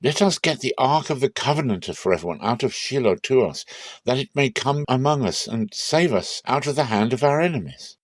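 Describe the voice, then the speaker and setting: A man's voice: mid-range voice, animated, fairly quick, no room sound, voice pushed up, natural voice. Man as troubled Israelite elder, earnest, urgent, raised voice, scripture drama, dry sound.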